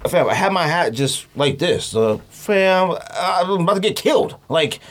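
A man's voice: sits high, expressive, a little slow. A man hosting a casual podcast, talking in slang and telling stories with acted-out quotes. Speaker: podcast host. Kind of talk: casual chat.

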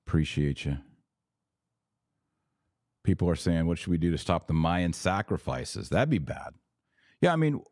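A man's voice: low voice, darkish tone, dry recording, studio quality. A man hosting a live podcast, reading viewer comments and giving emphatic, opinionated commentary with rhetorical questions. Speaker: podcast host.

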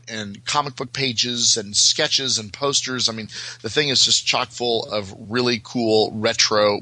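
An American man talks casually on a podcast panel. His voice is a little low but bright, in a dry recording. He stops and restarts often, filling gaps as he searches for words. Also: no room sound, poor audio. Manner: halting, many filler words and restarts. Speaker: podcast guest.

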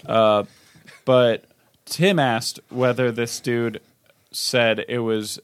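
A man's voice: mid-range voice, fairly even intonation, extremely slow, clear, resonant tone, no room sound. A man co-hosting a casual podcast, swapping anecdotes in a joking way with hesitant starts. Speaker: podcast co-host. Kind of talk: casual group banter and anecdotes.

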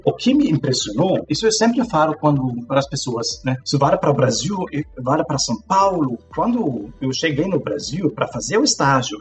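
A German man in his forties, speaking with a German accent, answers interview questions reflectively and tags his phrases with a questioning "né?". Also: fairly high pitch. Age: 40s. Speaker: interview guest, tech instructor.

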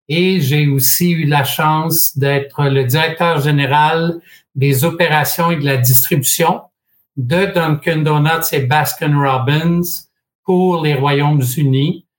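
A man in his sixties from Quebec with a Québécois French accent, a guest in an interview, telling his story in a measured way with frequent hesitations and dry humor. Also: fairly high pitch, fairly even intonation. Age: 60s. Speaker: guest, franchise expert.

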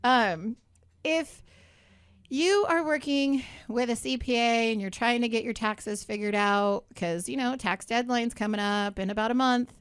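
A woman's voice: a little high, measured pace, clear, resonant tone, no room sound. A woman hosting a radio show in a studio, talking in a chatty, upbeat way with many asides. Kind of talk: casual talk radio chat.

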